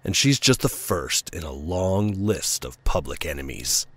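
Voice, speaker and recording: A brusque, condescending male official from an audio drama voiced by an actor. His voice is low and highly varied in pitch, full of hard-line arrogance, and sounds dry and close. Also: big pitch swings, a little slow, no echo, full character voice. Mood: determined, hard.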